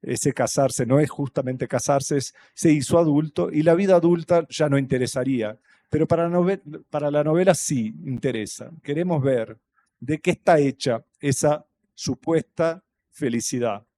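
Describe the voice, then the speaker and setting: A man from Brazil whose first language is Portuguese, speaking Spanish with a Brazilian accent on a festival panel, thoughtful and halting, with many fillers and restarts. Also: fairly high pitch, mellow. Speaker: panel guest, writer. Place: stage at a public festival panel.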